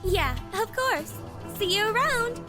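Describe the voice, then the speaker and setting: A woman's voice: very high-pitched, expressive, slow pace, loud music behind the voice, exaggerated voice. A woman playing a friendly, chatty young intern in a fan audio drama.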